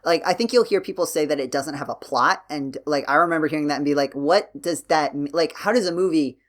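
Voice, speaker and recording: Man chatting casually, rambling as he goes. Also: on the high side. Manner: halting and rambling, rephrasing as he goes. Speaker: podcast co-host discussing films. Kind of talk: casual podcast chat.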